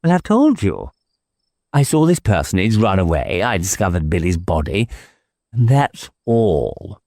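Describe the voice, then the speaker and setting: A man's voice: deep voice, big pitch swings, unhurried, a little mellow, no room sound, studio quality, heavily acted. A man voicing a whimsical, evasive village clergyman with a coy, wordy and mannered way of talking, in an audiobook. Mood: peevish.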